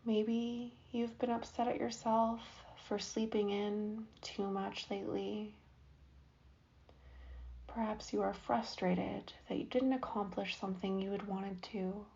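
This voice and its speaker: A woman leads a guided relaxation, speaking very slowly in a soft, soothing manner with long, patient pauses. Her voice is mellow and warm at a middle pitch. The recording is a little dull and lo-fi. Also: level tone, creaky. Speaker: yoga nidra guide. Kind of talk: guided meditation.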